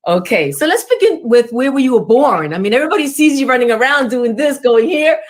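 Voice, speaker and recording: A woman's voice: high-pitched, animated, a little fast. A woman hosting an interview show, effusive and formal, with many compliments and blessings. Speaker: talk show host.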